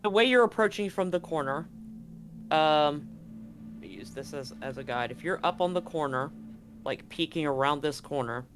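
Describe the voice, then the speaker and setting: A man's voice: on the high side, animated, normal speed, fairly bright tone. A man talking casually as the game master of a tabletop roleplaying game, describing scenes and rules. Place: live online game stream.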